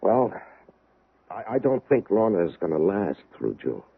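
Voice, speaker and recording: A man playing an exasperated, protective middle-aged husband in a 1970s radio drama, emphatic and pleading, with a raspy voice. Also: on the low side, very animated, a little slow, phone-quality sound, heavily acted. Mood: worried, confiding.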